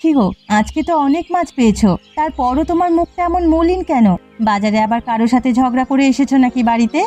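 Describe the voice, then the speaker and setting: A woman's voice: high-pitched, fast, warm tone, soft background music, natural voice. A woman voicing a nagging, prying adult village wife in a cartoon. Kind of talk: cartoon dub acting.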